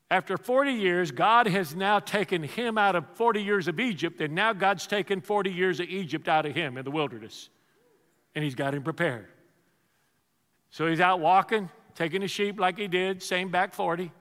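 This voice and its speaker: A man preaching a sermon, telling the story with lively energy and quizzing the congregation. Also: sits high, in a large hall. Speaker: pastor.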